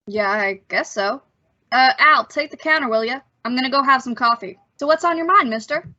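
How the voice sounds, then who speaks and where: Woman as a teenage diner boy for radio suspense: highish, bright-edged, cagey, slangy wisecracker, slightly muffled recording. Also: a little fast. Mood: guarded. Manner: slangy, cagey, clipped answers. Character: guarded, wisecracking seventeen-year-old diner counterboy. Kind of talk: old-time radio suspense acting. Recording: dull, lo-fi audio.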